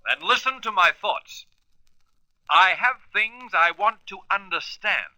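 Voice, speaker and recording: An actor playing a daring, commanding space adventurer in a radio serial, earnest and emphatic. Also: male voice, sits high, expressive, takes it slowly, sharp tone, no echo, faint music behind, heavily acted, poor audio. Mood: stern, commanding.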